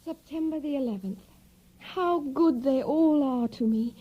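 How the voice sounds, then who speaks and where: A delicate, dreamy young lady in an audio drama. Her voice is high and breathy, and she speaks slowly and gently. The sound is slightly muffled. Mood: dreamy, wistful. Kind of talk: audio drama acting, diary narration.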